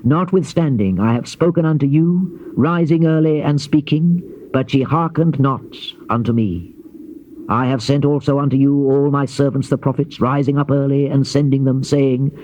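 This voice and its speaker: An actor playing a grave Old Testament prophet in a dramatized scripture reading, declaiming in measured phrases. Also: male voice, mid-range voice, mellow, natural voice. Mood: stern, solemn. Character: solemn male Old Testament prophet recounting God's warnings. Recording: dull noisy old cassette tape.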